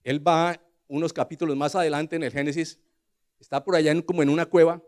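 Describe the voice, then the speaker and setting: An older man with a Colombian accent preaches in a slightly high voice. He tells scripture as a dramatic story, dropping to quiet asides and rising to loud, emphatic declarations, often putting questions to his listeners. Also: steady tone, brisk. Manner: rhetorical questions to the congregation.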